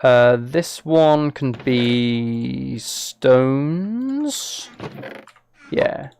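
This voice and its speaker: A man's voice: mid-range voice, gravelly, a little mellow, a little hiss or hum. A man chatting casually on a live game stream, thinking aloud as he plays and talking to his viewers.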